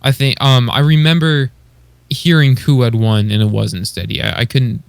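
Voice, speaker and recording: A man's voice: mid-range voice, steady tone, moderate pace, gravelly, smooth, dry recording. Casual, hesitant man in dry sound. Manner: hesitant, searching for words, often says like. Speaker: podcast co-host. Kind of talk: casual chat.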